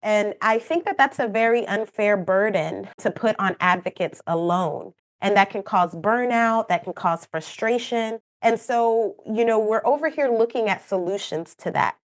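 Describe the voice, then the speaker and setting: A woman's voice: mid-range voice, moderate pace, hardly any pauses. Kenyan woman explaining earnestly. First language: not English. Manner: earnest, explanatory, with light laughs and asides. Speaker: program manager, guest expert. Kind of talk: podcast interview answer.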